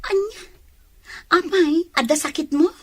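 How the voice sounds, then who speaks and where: A woman's voice: very high voice, measured pace. A woman with an Ilocano accent playing a chatty, sympathetic village friend in a radio drama, exclaiming with concern. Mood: surprised.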